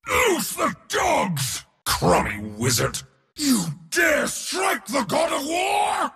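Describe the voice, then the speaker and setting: An actor playing an arrogant, bombastic god of war in a video game, declaiming and gloating in a booming theatrical voice. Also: male voice, huge pitch swings, slow pace, vocal fry, bright, forceful tone, shouting, long reverb tail, full character voice. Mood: wrathful eagerness. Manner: booming, declamatory, gloating, short battle cries.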